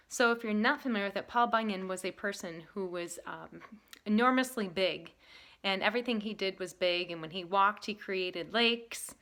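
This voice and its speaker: A woman teaching and reading a picture book aloud with emphatic, expressive delivery. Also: medium pitch. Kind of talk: lesson talk and animated storybook reading.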